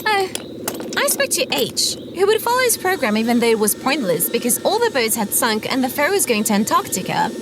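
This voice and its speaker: Casting: naive, eager little girl robot, high and very lively, sci-fi audio drama. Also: a little fast, background noise. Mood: earnest, proud. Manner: literal-minded, naive, eager. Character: childlike, earnest, chirpy female service robot. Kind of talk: scripted audio drama acting.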